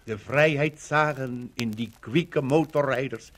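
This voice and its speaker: An actor playing a fervent Dutch witness to liberation, speaking gravely and deliberately in a 1950s radio docudrama. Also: male voice, mid-range voice, close to an everyday voice. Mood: grave, stirred.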